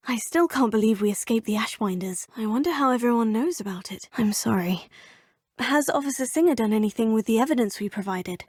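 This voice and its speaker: A courteous teenage witch student from a fantasy video game. Her voice sits fairly high and has a soft, breathy edge. The recording is bone-dry studio sound with no room around it. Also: no echo. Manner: courteous and composed, often saying sir. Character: polite, curious teenage witch student, steady and earnest. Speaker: game player character.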